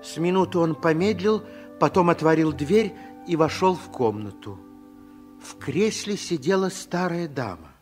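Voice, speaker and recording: A man narrating a children's radio drama as a storyteller, in a hushed, suspenseful way. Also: a little high, expressive, measured pace, faint music behind.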